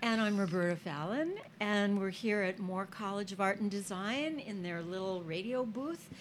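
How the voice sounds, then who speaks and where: A woman hosting a casual interview in a small radio booth, introducing her guest in a friendly, measured way. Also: medium pitch, slight background noise. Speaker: host.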